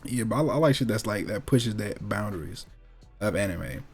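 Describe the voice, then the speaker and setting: A man's voice: lowish voice, expressive, normal speed, faint music behind. An American man co-hosting a casual talk about movies and anime, slangy and opinionated, often repeating himself for emphasis. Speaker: podcast co-host.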